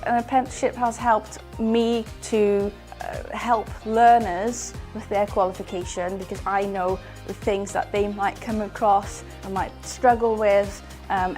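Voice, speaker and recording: Casual woman trainer over soft music. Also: medium pitch. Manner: casual, with filler likes. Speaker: digital skills trainer. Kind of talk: recorded profile interview.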